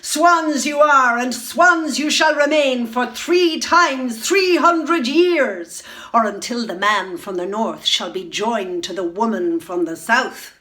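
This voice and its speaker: A jealous sorceress queen from a fairy-tale storybook gloats over her curse in a raised, ringing voice, as a woman reader puts her on. She draws her words out slowly and triumphantly, high and loud above an ordinary speaking voice. Her manner is cold, commanding and cruel. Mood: gloating.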